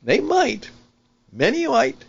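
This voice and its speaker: An older man hosting an opinion podcast, speaking off the cuff in a deliberate, emphatic way and repeating phrases for stress. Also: very high voice, huge pitch swings, creaky, breathy edge. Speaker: podcast host, veteran broadcaster. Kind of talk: unscripted opinion monologue. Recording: dull lo-fi sound.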